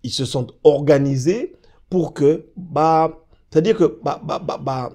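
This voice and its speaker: A man's voice: a little high, measured pace, darkish tone. A Congolese man with a Congolese French accent, a talk show guest explaining a law emphatically and mixing in Lingala. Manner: mixes French and Lingala, emphatic, repeats fillers when searching for words. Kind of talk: political talk show discussion.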